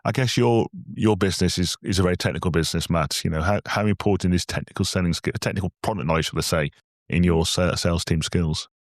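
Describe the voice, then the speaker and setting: A man hosting a business podcast, chatty and a little self-deprecating, his voice slightly croaky from a cold. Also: sits low, fast, no echo, clean studio sound. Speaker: podcast host. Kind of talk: casual podcast chat.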